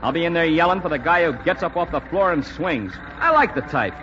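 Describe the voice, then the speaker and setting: A man's voice: on the high side, animated, a little fast, music in the background, natural voice. An actor playing a brash, cheerful young American airman in an old-time radio drama, with breezy, emphatic slang. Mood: impassioned. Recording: noisy, dull vintage radio recording.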